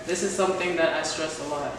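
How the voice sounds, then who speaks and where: Interviewer, supportive tone, distant mic, reverberant space. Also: female voice, low-pitched, fairly even intonation. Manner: encouraging, quick affirmations. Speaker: interviewer, host. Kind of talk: casual interview. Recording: echoey room, distant mic.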